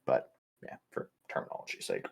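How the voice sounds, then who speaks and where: A man's voice: a little fast, darkish tone. A man playing a tabletop game, chatting casually with a wry, explanatory manner.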